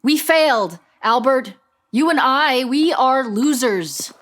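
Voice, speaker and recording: A woman playing a brash, sarcastic and exasperated young doctor sister in an audio play, blunt and excitable in manner. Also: sits high, slow.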